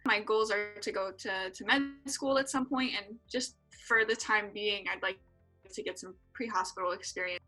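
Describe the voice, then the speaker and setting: A woman's voice: mid-range voice, steady tone, moderate pace, pushed and bright, faint music behind, low-quality recording. A woman in her twenties from San Diego, answering interview questions earnestly with frequent filler words. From San Diego, California. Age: 20s. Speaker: interview guest, EMT.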